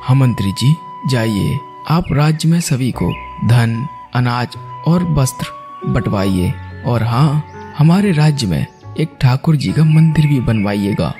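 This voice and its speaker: A man's voice: medium pitch, animated, unhurried, dark tone. Man acting a stately storybook king, music behind. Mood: courteous, composed. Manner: formal, stately storybook delivery. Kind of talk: cartoon moral-story voice acting. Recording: music under the voice.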